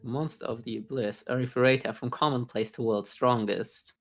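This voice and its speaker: A man's voice: mid-range voice, steady tone, normal speed, fairly bright tone. A man with a sarcastic, slangy way of talking, chatting and joking as a podcast co-host. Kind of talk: casual, joking chat and reactions.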